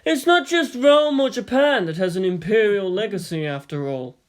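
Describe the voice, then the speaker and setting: A man voicing a weary, thoughtful statesman and historian who lectures in a measured, philosophical way in a story reading. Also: high voice, expressive, pitched above the performer's own voice. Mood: wry.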